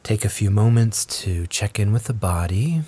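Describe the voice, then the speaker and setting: A man leads a guided meditation as the teacher, speaking gently and in a measured way with long pauses. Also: lowish voice, slightly rough. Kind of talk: guided meditation, slow scripted instruction.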